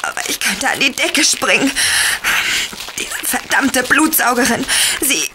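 An actress playing an injured, indignant heroine in an audio drama, complaining in pain. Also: female voice, sits high, lively intonation, unhurried, sharp tone, full character voice. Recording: clear noise behind the voice.

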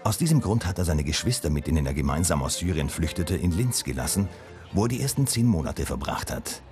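A man reading a scripted news voice-over in a calm, even-paced delivery. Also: rumbling low, fairly quick, over music. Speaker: reporter narrating.

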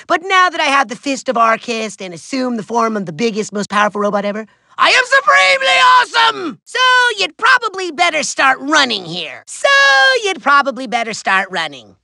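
A man voicing a short-tempered megalomaniac villain in a giant robot body for a video game, shrill, raspy and nasal, pompous, bombastic and gloating. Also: pushed and bright, no room sound, studio quality, full character voice. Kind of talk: theatrical cartoon villain acting.

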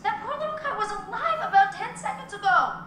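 High-voiced, expressive young woman. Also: fairly quick, music in the background. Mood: indignant. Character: young woman, friendly, easily indignant, kind to animals. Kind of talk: naturalistic horror game acting. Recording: echoey game audio, loud noise.

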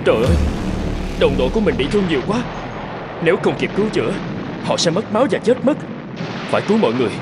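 An actor playing an earnest, brave young soldier in a cartoon, with an urgent manner. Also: male voice, fairly high pitch, big pitch swings, fast talker, pauses often, heavy background noise. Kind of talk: dubbed cartoon dialogue. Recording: loud music and battle sounds behind.

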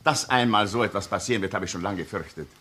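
An actor playing a formal, disapproving Austrian doctor testifying as a witness in a crime drama. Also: male voice, fairly low pitch, quick pace, sharp tone, slight background noise.

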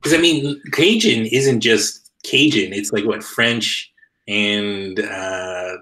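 Low-voiced laid-back man on a call. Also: takes it slowly, sharp tone. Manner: easygoing, laughing, loose phrasing. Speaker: comic artist and co-host. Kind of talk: casual video call chat.

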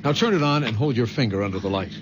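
A man's voice: medium pitch, lively intonation, racing, lightly acted. An actor playing an exasperated, anxious man pleading with his girlfriend in a 1970s radio drama, insistent and earnest. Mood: tense, insistent. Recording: noisy old radio broadcast.

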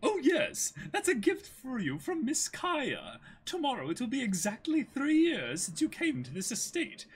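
A man's voice: very high voice, huge pitch swings, moderate pace, few pauses, slightly rough, pitched above the performer's own voice, exaggerated voice. A man voicing a cheerful, polite household servant in a bubbly, admiring way for a manga reading.

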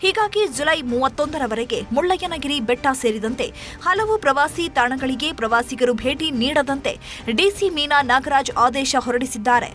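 A woman's voice: high voice, expressive, normal speed, fairly bright tone. A woman narrating scripted news in an emphatic, dramatic way. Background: music bed.

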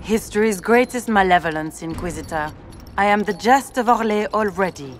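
A woman's voice: mid-range voice, moderate pace, faint music behind, natural voice. A woman voicing a disgraced, defiant grand duchess in a fantasy game, speaking with formal scorn. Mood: bitter. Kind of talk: cinematic fantasy game dialogue.

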